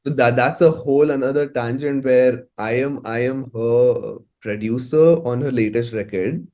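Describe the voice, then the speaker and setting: Man, Indian English accent, medium pitch, casual interview talk, conversational, repeated words, musician guest. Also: moderate pace, warm tone.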